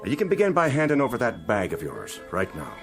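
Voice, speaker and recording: An actor playing a gruff, stern police officer who speaks in a brusque, commanding way, in a radio drama. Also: male voice, mid-range voice, huge pitch swings, brisk, soft background music.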